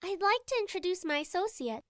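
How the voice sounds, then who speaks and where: A woman's voice: extremely high pitch, lively intonation, brisk, fairly bright tone, dry recording, studio quality. A woman playing a polite, encouraging young student in an anime-style visual novel game.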